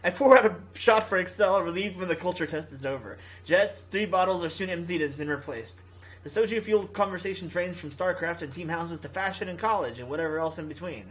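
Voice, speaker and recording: A man's voice: high voice, brisk, a bit bright. A man reading a visual novel aloud as a gaming video host, stumbling now and then and rereading his lines.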